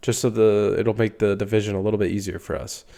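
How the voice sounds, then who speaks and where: Young male podcast host, stuffy nose, lower register, loose filler-heavy sports chat. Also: steady tone, quick pace, vocal fry, dark tone. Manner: rambling, filler-heavy, swears casually. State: congested. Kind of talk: casual solo sports talk.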